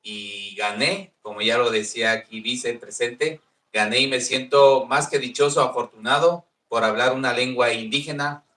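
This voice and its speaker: A man's voice: mid-range voice, fairly even intonation, normal speed, pushed and bright. A man with a Mexican Spanish accent whose first language is Nahuatl, speaking earnestly and proudly as a teacher at a panel, often repeating himself. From Veracruz, Mexico. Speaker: Nahuatl teacher and contest winner. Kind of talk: formal speech at a panel. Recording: echoey room, distant mic.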